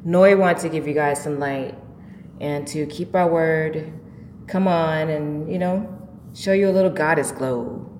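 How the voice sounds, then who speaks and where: A woman's voice: low voice, unhurried, a little mellow. A woman hosting an informal spiritual talk show, giving a preachy, emphatic monologue with rhetorical questions. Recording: echoey, reverberant room with faint noise.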